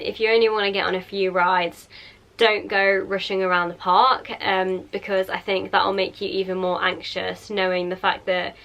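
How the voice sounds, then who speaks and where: A woman's voice: mid-range voice, a bit bright. A young woman with a heavy cold, chatting casually as a vlogger and giving advice, rambling with lots of ums and likes.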